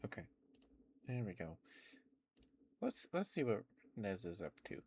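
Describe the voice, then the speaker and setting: A man streaming ASMR, talking softly and reassuringly to his chat into a close microphone. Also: fairly low pitch, sing-song, a little slow, slight background noise. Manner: soft, gentle, reassuring. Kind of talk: whispered ASMR affirmations and casual stream chat. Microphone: close ASMR microphone with headphones.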